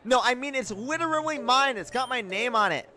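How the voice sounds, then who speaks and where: A man's voice: very high voice, expressive, brisk, sharp tone, voice pushed up, full character voice. A man voicing a hot-headed, impulsive teenage male student in a visual novel, blunt and exclamatory. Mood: urgent shouting.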